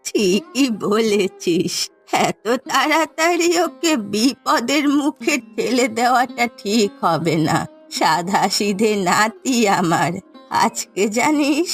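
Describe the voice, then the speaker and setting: A woman voicing a feisty, playful old village grandmother in a cartoon, chatty and theatrical in her talk. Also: on the high side. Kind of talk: cartoon story dialogue.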